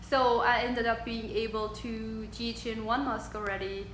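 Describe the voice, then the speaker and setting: A young woman, her voice set a little high. She talks hesitantly, with plenty of ums, and her speech rings in a roomy echo over faint background noise. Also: flat delivery, normal speed, fairly bright tone. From Switzerland. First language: French. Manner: hesitant, many ums, frequent laughs. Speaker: guest, yoga instructor. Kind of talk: casual interview.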